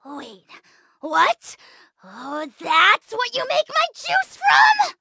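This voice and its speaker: A pint-sized, pompous male dragon spirit from a cartoonish video game. The voice is piercingly high and swoops wildly, with a sharp, gravelly squawk. He is bratty, haughty and quick to explode. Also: wildly swooping pitch, no room sound, clean studio sound, heavily acted. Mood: startled. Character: tiny pompous, bad-tempered male dragon spirit companion.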